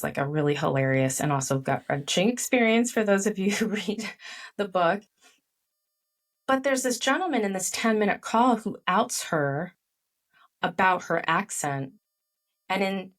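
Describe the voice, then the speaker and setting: A middle-aged woman, a guest author whose first language is Chinese, telling personal stories in an animated, candid way, full of "like" fillers. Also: on the low side, far from the mic. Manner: animated storytelling with frequent "like" fillers. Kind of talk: candid interview conversation.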